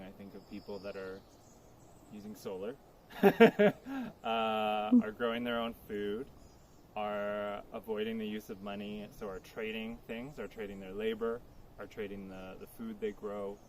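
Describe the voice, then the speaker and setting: A man's voice: on the low side, a little mellow, a little hiss or hum. Reflective man. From Poland. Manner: reflective, earnest, thinks aloud. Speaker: interviewee. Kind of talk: outdoor interview answers. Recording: crickets clearly audible outdoors.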